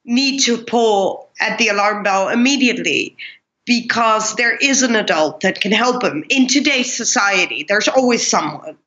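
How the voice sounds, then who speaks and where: A woman's voice: medium pitch, expressive, normal speed, sharp tone, lo-fi sound. A middle-aged woman, a guest telling her life story in an earnest, reflective way. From Pakistan. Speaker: guest, kindness speaker. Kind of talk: conversational interview.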